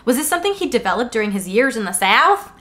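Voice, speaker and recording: A woman's voice: on the high side, quick pace. A young woman co-hosting a casual book read-along, reading passages aloud and joking between them. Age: young adult. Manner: animated, giggly, reads aloud then jokes. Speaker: podcast co-host. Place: indoors on a couch.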